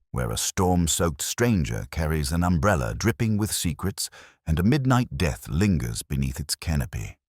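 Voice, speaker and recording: A man's voice: deep voice, no echo, studio quality. A man reading a scripted detective story as narrator and host, with a dramatic, wry delivery.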